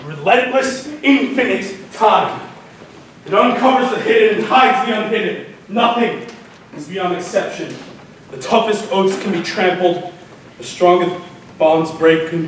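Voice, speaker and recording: A man playing a proud, tormented warrior hero on stage, commanding and breaking into shouts, recorded echoey from far off. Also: high voice, slow pace, pauses often, slight background noise. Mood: brooding. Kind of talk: theatrical stage acting.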